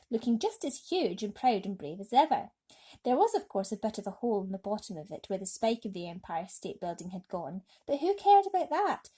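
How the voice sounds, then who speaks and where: A woman's voice: mid-range voice, warm tone, breathy edge. A woman narrating a children's bedtime story expressively. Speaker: storybook reader.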